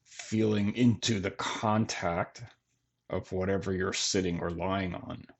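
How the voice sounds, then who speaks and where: A man leading a guided body scan meditation in gentle, measured phrases with long pauses between them. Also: sits low, fairly even intonation. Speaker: meditation teacher.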